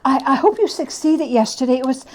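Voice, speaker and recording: A woman plays an old miser turned joyful gentleman in a storybook tale. He stammers politely and gushes with eagerness, the pitch high and swinging widely. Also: animated, a little fast. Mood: flustered, apologetic. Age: older. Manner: stammering, breathlessly effusive, polite.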